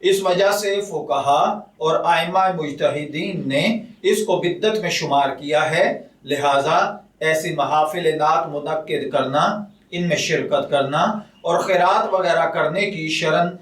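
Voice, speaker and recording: A man speaking as a religious scholar, calm and measured, with a slightly high voice. He talks very slowly and formally. The recording is echoey, with the voice a little distant from the mic. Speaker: religious scholar answering a question. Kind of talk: slow formal religious ruling talk. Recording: echoey room, voice a bit far from the mic.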